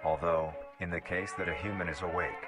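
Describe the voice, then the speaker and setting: A man reading scripted mock-documentary horror narration in a measured, formal, clinical manner. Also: low voice, steady tone. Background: music.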